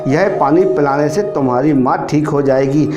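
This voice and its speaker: A man's voice: on the high side, moderate pace, highs cut off, close to an everyday voice. A man voicing a patient, reassuring old male shopkeeper in a narrated moral story. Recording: roomy echo, music behind.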